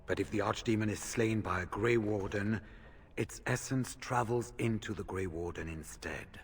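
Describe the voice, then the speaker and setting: An actor playing a solemn veteran warrior who speaks in a measured, grave manner. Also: male voice, fairly low pitch, gravelly, husky. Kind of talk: fantasy game dialogue.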